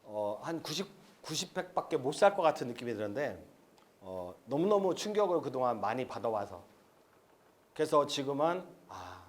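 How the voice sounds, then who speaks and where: A man's voice: mid-range voice, big pitch swings, moderate pace. A man in his fifties giving a frank, rambling seminar lecture at an expo, with many fillers. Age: 50s. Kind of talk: live seminar lecture to an audience.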